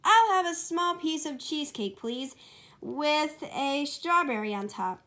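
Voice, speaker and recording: An excitable, high-voiced girl hedgehog played by a woman from a storybook comic dub. She is eager, stubborn and lovestruck, and speaks with plenty of emphasis. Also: unhurried, heavily acted. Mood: sweet, smitten.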